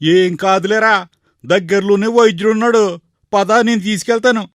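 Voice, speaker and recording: Man playing a high-pitched rustic cart driver. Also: steady tone, moderate pace, no room sound, studio quality, lightly acted. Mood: defensive. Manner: colloquial, rustic, blunt. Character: rustic bullock-cart driver, blunt and defensive.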